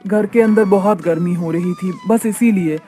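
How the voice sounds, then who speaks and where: A woman acts as a poor young mother in a cartoon story. She is gentle, patient and soothing, with music under her voice. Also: mid-range voice, moderate pace, close to an everyday voice. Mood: tender.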